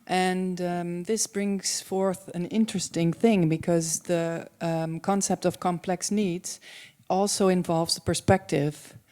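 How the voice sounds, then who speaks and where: Mellow low-voiced woman, native Dutch speaker. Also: fairly even intonation, takes it slowly, smooth. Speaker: panel participant. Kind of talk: personal reflection at a public panel. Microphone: handheld microphone.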